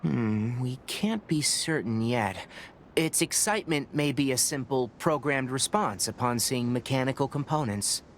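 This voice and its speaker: An actor playing a calm, scholarly young forest ranger in a video game, measured and patient as he explains. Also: mid-range voice, a little hiss or hum. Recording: game audio with clear ambience.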